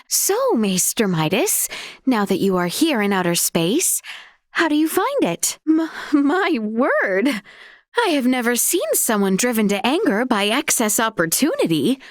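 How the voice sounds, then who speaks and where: A high-voiced, courteous young noblewoman. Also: no room sound, clean studio sound. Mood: bright, surprised. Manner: formal, archaic, courteous diction. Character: polite, earnest young noblewoman of a fantasy world. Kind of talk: video game character voice acting.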